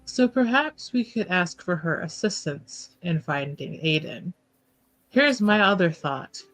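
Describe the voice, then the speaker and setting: A woman playing a tabletop roleplaying game, talking casually and deliberately as she reasons aloud. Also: on the low side, lively intonation, a bit bright, faint music behind, low-quality recording.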